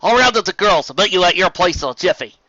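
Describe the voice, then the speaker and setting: Man doing a forceful, folksy country mare. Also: sits high, animated, fast talker, no echo, lo-fi sound, voice pushed up, exaggerated voice. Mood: concerned. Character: protective, blunt country mare, worried big sister.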